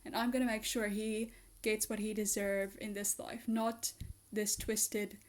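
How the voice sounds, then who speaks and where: A young woman voicing a kind, protective old uncle's thoughts, softly and earnestly, with a raspy voice. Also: medium pitch, level tone, unhurried, natural voice. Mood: tender.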